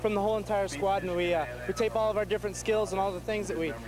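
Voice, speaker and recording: A young male college cheerleader from Indiana talks informally. His voice is high and his delivery extremely quick. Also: fairly even intonation, low-quality recording. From Indiana, USA. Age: young adult.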